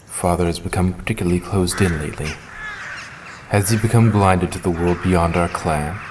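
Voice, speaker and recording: A man playing a solemn, reserved young ninja and elder brother in an audio drama, speaking in a measured, formal, brooding way. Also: deep voice, noisy background, close to an everyday voice. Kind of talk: fan audio drama acting.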